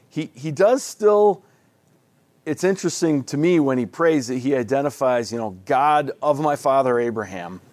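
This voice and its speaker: A man walks a group through scripture in an easygoing, homespun manner, stopping and restarting his thoughts as he goes. He speaks slowly, at a middling pitch. The sound is dry and close, with no room echo. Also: darkish tone. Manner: folksy and conversational, with frequent restarts. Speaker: Bible study leader. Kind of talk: casual Bible study teaching.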